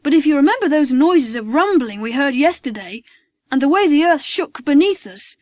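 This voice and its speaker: A man puts on an adventurous schoolchild for a storybook. The voice sits far above an adult male register and moves up and down freely. It is earnest and excitable, recorded close and dry. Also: very high voice, normal speed, no room sound, pitched above the performer's own voice. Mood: puzzled.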